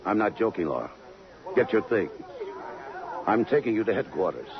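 An actor playing a tough, terse police lieutenant who is quietly smitten, blunt and clipped, in a 1950s radio drama. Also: male voice, on the low side, big pitch swings, moderate pace, crowd noise. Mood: stern. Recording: dull lo-fi 1950s radio recording.

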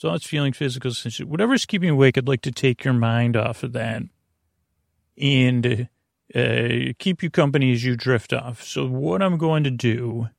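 A man hosting a bedtime podcast in a meandering, halting monologue, drawing out words, wandering into asides and sounding gently silly. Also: medium pitch, gravelly, no room sound, clean studio sound. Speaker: podcast host. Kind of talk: rambling bedtime podcast monologue to help listeners sleep.

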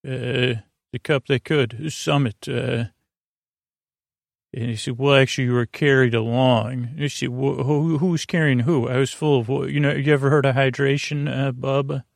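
A man with a gravelly, fry-laden voice delivers a rambling bedtime podcast monologue. He hesitates, doubles back and wanders into absurd tangents and imagined exchanges. The sound is very dry, with no room around the voice. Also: mid-range voice, fairly even intonation, no echo. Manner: halting, meandering, with trailing tangents and invented dialogues. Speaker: podcast host.

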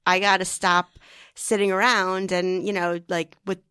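A woman's voice: lowish voice, level tone, measured pace, pushed and bright, clear, resonant tone, no room sound, studio quality. A woman in her forties hosting a podcast, chatty and enthusiastic, with frequent exclamations. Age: 40s. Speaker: podcast host. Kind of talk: casual interview chat.

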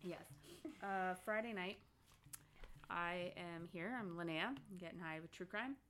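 A woman's voice: fairly low pitch, a little slow, fairly bright tone, a little hiss or hum. Woman podcast host, casual and rambling, giggles often, faint clicks in background. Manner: giggly, rambling, trails off into side stories. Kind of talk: casual chat. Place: home office.

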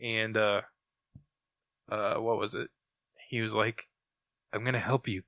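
Very bright, animated male podcaster. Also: on the low side, measured pace, no echo, clean studio sound. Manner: animated retelling, quoting lines. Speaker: podcast co-host. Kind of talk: casual chat.

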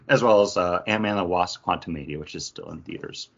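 Husky guy chatting on a podcast, lowish voice, kinda muffled audio. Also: fairly quick. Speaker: podcast co-host. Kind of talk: casual pop-culture chat.

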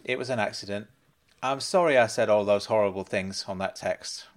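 A man voicing a relaxed, forgiving male friend in a storybook reading. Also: lowish voice, a little fast, lightly acted. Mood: easygoing.

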